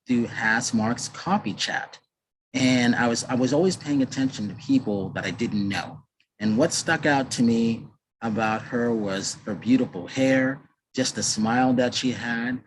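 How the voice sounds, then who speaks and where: Man, show host, mid pitch, upbeat intros, faint hiss behind. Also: poor audio. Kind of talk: interview host.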